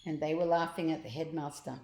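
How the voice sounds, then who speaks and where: An older woman reading a children's storybook aloud as a grandmother would, with expressive, drawn-out emphasis, in a roomy recording. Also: sits low. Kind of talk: storybook narration read aloud.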